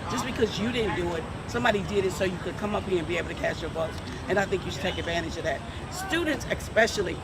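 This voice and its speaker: This is a man with a notably high voice, answering a street interviewer with passion and emphasis. Clear outdoor street noise and traffic sound run behind him. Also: fast talker.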